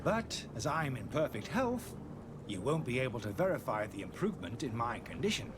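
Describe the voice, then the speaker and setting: A man playing a pompous, theatrical charlatan in a video game, selling potions with smooth, grandiose showman's patter. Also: mid-range voice, very animated, normal speed, background noise, lightly acted. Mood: smooth, courteous.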